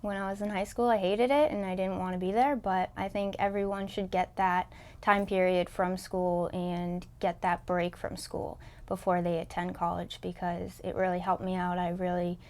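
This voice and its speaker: A woman's voice: fairly low pitch, little pitch movement, normal speed, hardly any pauses, a little mellow, smooth. A young woman college student reflecting candidly on her path to college and reading a personal poem.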